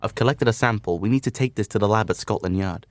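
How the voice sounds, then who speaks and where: An actor playing an earnest, clever doctor, the sensible matter-of-fact sidekick in a comedic radio drama. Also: male voice, fairly low pitch, fast talker, dry recording, clean studio sound, natural voice.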